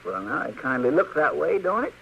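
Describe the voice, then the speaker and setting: An actor playing a lazy, dreamy frontier deputy with a rural American drawl in a radio western, folksy and hemming. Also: male voice, on the high side, very animated, fairly quick, creaky, bright, forceful tone, full character voice. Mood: folksy, self-important. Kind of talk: 1950s radio western acting. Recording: dull, noisy old radio recording.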